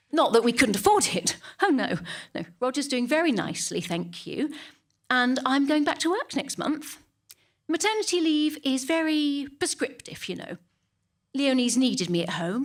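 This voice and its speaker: A woman's voice: fairly high pitch, fairly bright tone. A woman playing a smug, chatty middle-class English churchgoing mother in a comic monologue, prim and gossipy, with an English accent. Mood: smug, defensive. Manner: prim, gossipy, self-justifying asides.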